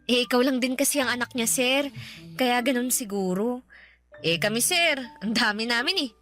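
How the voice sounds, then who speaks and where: A woman plays a very high-pitched teenage housemaid, deferential and caring in a radio drama. She fusses politely and hesitates, with faint music behind her. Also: moderate pace, a bit bright, natural voice. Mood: gentle, caring. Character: caring, deferential eighteen-year-old female housemaid. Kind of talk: Tagalog radio drama acting.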